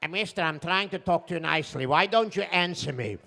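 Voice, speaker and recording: A man's voice: a little high, lively intonation, fast talker, vocal fry, sharp tone, heavily acted. A man voicing a hard-of-hearing bus driver, puzzled and stubborn, arguing in broken grammar for a told story. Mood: indignant, puzzled. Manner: broken grammar, bewildered, argumentative.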